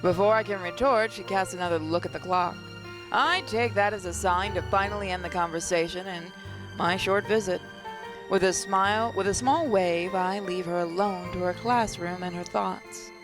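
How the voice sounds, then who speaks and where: A woman's voice: mid-range voice, moderate pace, over music, rough recording. A woman reading a visual novel's narration aloud, now and then stumbling and rereading a phrase. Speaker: gaming channel host.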